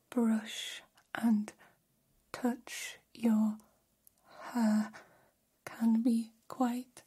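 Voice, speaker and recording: A woman voicing a gentle, formal alien caretaker in an ASMR roleplay, whispering softly with slow, deliberate phrasing and long pauses. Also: on the high side, heavily acted. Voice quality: soft, breathy whisper. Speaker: ASMR performer. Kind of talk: ASMR roleplay, personal attention.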